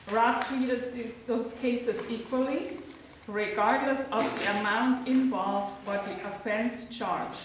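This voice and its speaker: A woman's voice: on the high side, noisy background. A woman with a German accent reading a prepared tribute as a legal assistant at a hearing, a little nervous and earnest. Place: large echoey hearing room. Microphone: recorded from far by a camcorder. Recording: echoey room, distant camcorder mic.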